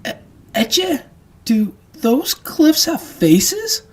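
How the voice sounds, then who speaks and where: A woman's voice: sits high, sing-song, very slow, heavily acted. A woman playing a cheeky, chatty, scrappy young adventurer in an audio drama. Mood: nervous.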